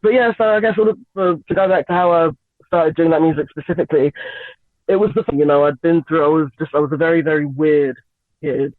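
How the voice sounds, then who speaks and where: A young woman from Lagos and London, a singer, chatting as an interview guest with frequent giggles and lots of halting fillers. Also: deep voice, steady tone, no room sound. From Nigeria and England. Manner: giggly, hesitant with many fillers. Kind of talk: radio interview, casual chat. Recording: tinny phone-line sound, dry.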